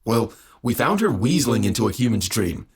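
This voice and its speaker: A man voicing a young, brash god in a fantasy storybook, blurting news with fear and barely held excitement. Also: mid-range voice, fairly quick, crowd noise. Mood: anxious excitement.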